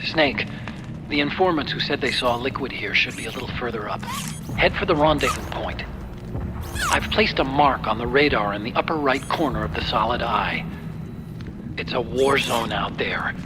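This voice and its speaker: An actor playing an earnest, helpful tech-expert sidekick in a video game, explaining things in a friendly way. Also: male voice, mid-range voice, moderate pace, noisy background, natural voice. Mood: concerned, instructive. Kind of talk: video game cutscene acting.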